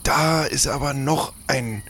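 A man acting a practical, helpful and teasing pirate mate with a creaky voice in an improvised audio adventure. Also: mid-range voice, slow. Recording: very loud noisy background ambience.

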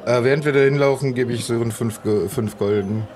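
A man's voice: medium pitch, brisk, music in the background. A man improvising as a player in an audio adventure, casual, flustered and a bit muddled. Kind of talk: casual improvised role-play.

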